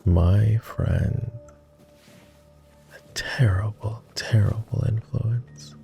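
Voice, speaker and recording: A man playing a flirty, courtly vampire aristocrat in an intimate roleplay ASMR, with refined, formal, teasing speech in a low, gravelly murmur. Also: fairly even intonation, very slow, warm tone, soft background music, full character voice. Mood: playful, teasing. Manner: refined, formal diction with playful teasing and sighs.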